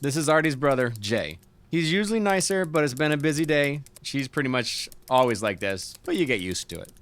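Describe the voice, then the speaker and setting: A man's voice: medium pitch, lively intonation, fairly quick, a bit bright, lightly acted. A man playing a cocky, easygoing young rebel tinkerer in an audio drama, breezy and wisecracking. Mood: casual.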